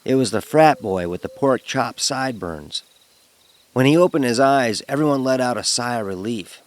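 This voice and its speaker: A man telling a first-person horror story in a dramatic, expressive narrating style. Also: medium pitch, moderate pace. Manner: expressive, acts out dialogue.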